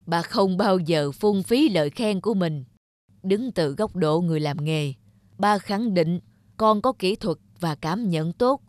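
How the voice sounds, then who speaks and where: An actor playing an earnest, remorseful older father who speaks in a slow, measured, solemn way in an animated drama. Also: high voice, no echo. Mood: earnest, encouraging. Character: grey-bearded old father, veteran animator, earnest and remorseful. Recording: background music under the voice.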